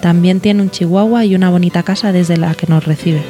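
A voice-over interpreter narrating and translating the answers in an even, read-aloud delivery. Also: mid-range voice, a little fast, over loud music.

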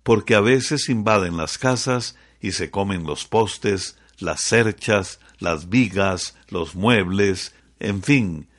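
A man co-hosting an educational radio program, giving clear explanations. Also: lowish voice, slow pace, few pauses, fairly bright tone.

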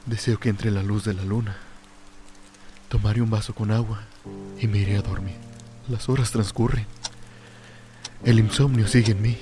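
A man's voice: lowish voice, steady tone, normal speed, pauses often, natural voice. Man acting a tormented drunken loner over music. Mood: brooding, melancholic. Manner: brooding, theatrical first-person soliloquy. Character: tormented, lonely drunken man sinking into madness and fear. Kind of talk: radio drama monologue.

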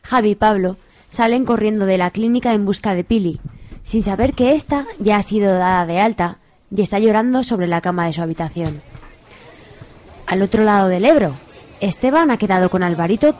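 A woman's voice: medium pitch, brisk, darkish tone. A woman narrating a radio serial in a scripted storytelling style.

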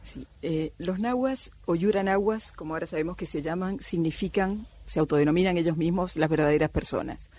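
A woman's voice: fairly low pitch, fairly quick, hardly any pauses, warm tone. A middle-aged woman from Cuba, an anthropologist, speaking thoughtfully with many hesitations in a radio interview. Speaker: anthropologist guest.

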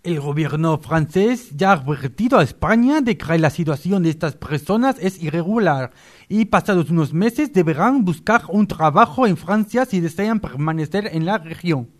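A French male news co-anchor with a slightly high voice reads a parody bulletin, acted by a male comedian. He belongs to a radio comedy sketch. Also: lively intonation, moderate pace, lightly acted. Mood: mock serious. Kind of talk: mock news reading.

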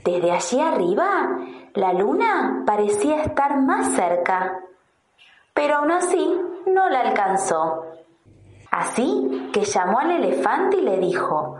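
A woman's voice: on the high side, very animated, slow. A woman with an Argentine accent, a kindergarten teacher reading a children's story. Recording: roomy echo with music. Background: music.

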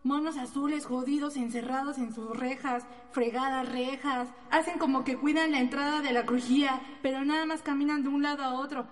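A woman acts out a foul-mouthed, mocking Mexican inmate in a radio drama. The high voice is coarse and bitter, ringing through a long reverb. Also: fairly even intonation, a little fast, hardly any pauses, lightly acted. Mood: bitter jeering.

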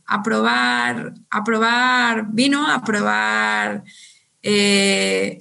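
A woman's voice: medium pitch, creaky, a bit bright. A Mexican woman, a wine professional, talking casually as a podcast guest, laughing often and repeating words as she thinks. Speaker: guest winemaker.

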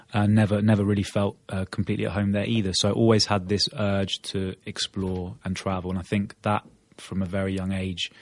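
Male guest, English, lowish voice, reflective and halting, dry close mic. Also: no room sound. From Norwich, England. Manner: thoughtful, hesitant with many ums, self-deprecating laughs. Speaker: radio guest. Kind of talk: casual interview answers.

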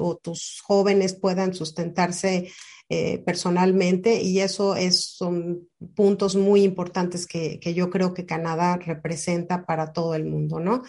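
Woman, interview host, slightly low pitch, attentive, affirming interjections. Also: darkish tone. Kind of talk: interview hosting.

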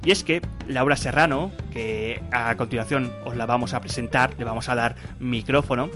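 A man's voice: mid-range voice, normal speed, music in the background. A man hosting a podcast interview, chatty, with fillers and repeated words. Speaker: podcast host. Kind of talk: casual interview chat.

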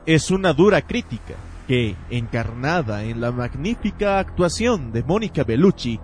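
A man's voice: medium pitch, sing-song, moderate pace, slight background noise. A man reading a scripted film review as a radio presenter. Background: faint music.

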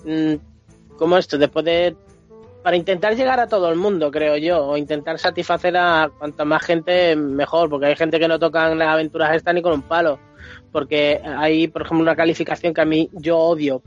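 A man with an accent from Spain chatting casually as a podcast panelist, colloquial and emphatic, repeating himself to make his point. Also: on the high side. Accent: Spanish from Spain. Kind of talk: casual opinionated chat about games. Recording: muffled podcast audio, music underneath.

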